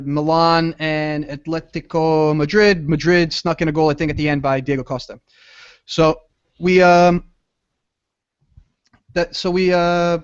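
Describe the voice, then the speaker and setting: Casual male host, voice on the high side, muffled podcast audio. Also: clean tone. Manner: loose, rambling, trailing off.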